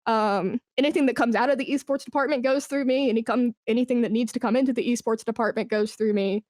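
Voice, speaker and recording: Female podcast guest, fairly high voice in a dry recording, conversational, keen and emphatic delivery. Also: steady tone, fast talker, a little mellow, no room sound. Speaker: podcast guest, esports brand manager. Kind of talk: casual interview conversation.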